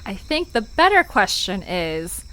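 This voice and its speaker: A woman's voice: medium pitch, sing-song, measured pace, bright, forceful tone, slight background noise, poor audio. A woman playing a curious, easily flustered visitor in an audio drama, full of anxious questions. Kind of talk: fiction podcast audio drama acting.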